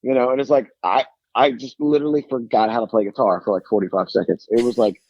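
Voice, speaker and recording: A man's voice: mid-range voice, fairly even intonation, quick pace, no echo, muffled recording. A man from Tennessee with a thick Southern accent, telling rambling, self-mocking stories as a guest on the phone from his home by a lake. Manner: rambling, self-mocking storyteller with many restarts. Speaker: guest musician. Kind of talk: casual phone interview storytelling.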